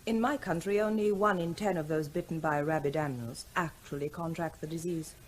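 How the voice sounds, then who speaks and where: A woman playing a calm, reassuring Indian hospital doctor in a radio drama, gentle and professional. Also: deep voice, brisk, a little hiss or hum, close to an everyday voice. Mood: clinical, calm. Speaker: actor.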